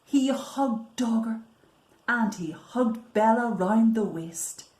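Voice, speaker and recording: Slow-paced woman storyteller in a roomy space. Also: on the high side. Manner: slow, deliberate, often hushed for effect. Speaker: library storyteller. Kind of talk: expressive picture-book reading for young children. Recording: roomy, echoing sound.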